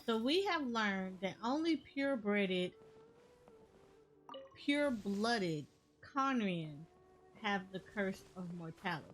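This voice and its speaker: Female gaming streamer, casual and unhurried, repeating phrases as she thinks aloud, game music underneath. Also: medium pitch, animated, rough recording. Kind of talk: casual game reaction commentary.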